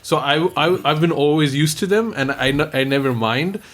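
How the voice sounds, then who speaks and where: Chatty podcast host from Belgium. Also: male voice, a little high, moderate pace. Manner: chatty, many restarts. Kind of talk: casual podcast chat.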